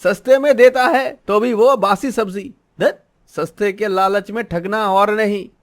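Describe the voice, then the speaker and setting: A man's voice: sits high, steady tone, a little slow. A man playing a fussy, blunt older market customer in a cartoon story, complaining freely. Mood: disgusted.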